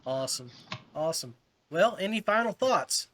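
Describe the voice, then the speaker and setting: A man's voice: sits high, lively intonation, moderate pace, fairly bright tone. A man hosting a fishing podcast in casual chat, talking informally like a buddy. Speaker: podcast host.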